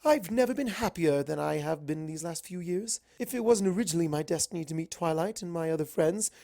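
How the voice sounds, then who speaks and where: A narrator putting on a prim, high-pitched lady unicorn. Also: expressive, a little fast, mellow, natural voice. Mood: prim, determined. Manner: prim, theatrical, emphatic. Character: Rarity, refined dramatic young female unicorn pony.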